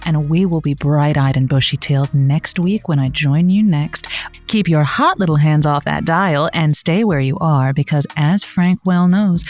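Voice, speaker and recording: A woman's voice: low-pitched, darkish tone, close to an everyday voice. A woman playing a flirty, lovelorn late-night radio host in an audio drama. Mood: cozy, playful.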